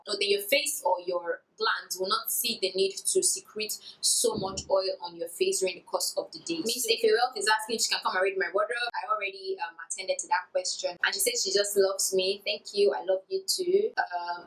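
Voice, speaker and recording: A woman's voice: medium pitch, fairly bright tone, poor audio. A woman in her twenties, a beauty YouTuber, answering viewer questions in a candid, chatty vlog with a sing-song lilt. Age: 20s. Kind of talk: casual Q&A vlog.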